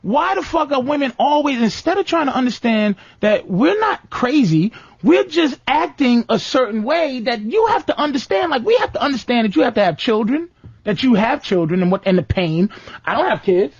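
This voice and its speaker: A man's voice: extremely high pitch, sing-song, normal speed, slightly rough, no echo, dull audio. A man hosting a radio show, ranting comically and profanely about relationships and mimicking his girlfriend in whiny voices. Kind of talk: comedic relationship rant.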